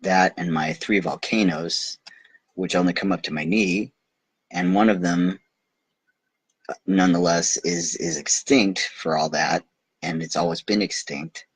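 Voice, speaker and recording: A man's voice: low voice, fairly even intonation, unhurried, slightly rough, no room sound, full character voice. A man voicing a lonely, wondering little prince in a bedtime storybook, plaintive, polite and naive. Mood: dejected.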